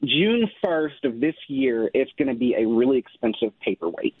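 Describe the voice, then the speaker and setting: A man calling a radio show by phone, casually explaining a problem in a halting way. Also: medium pitch, lively intonation, husky, dry recording. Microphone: telephone. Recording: narrow muffled phone line.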